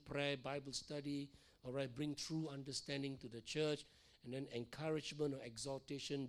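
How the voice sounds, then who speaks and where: A middle-aged male pastor preaching in a church, hoarse from losing his voice, repeating phrases and checking in with "all right?" and "okay?". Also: mid-range voice. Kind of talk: sermon teaching.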